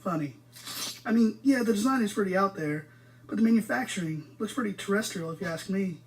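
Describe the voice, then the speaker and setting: An actress plays a female technician in an audio drama, blunt but flustered. She sounds natural and hesitant, muttering as if she would rather not talk, at a middling pitch. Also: expressive, brisk, close to an everyday voice, poor audio. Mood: irritated, hesitant.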